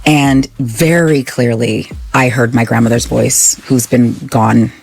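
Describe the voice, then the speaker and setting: A middle-aged man, an interview guest, earnestly retelling a personal story in a halting way. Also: mid-range voice, steady tone, vocal fry, faint music behind, rough recording.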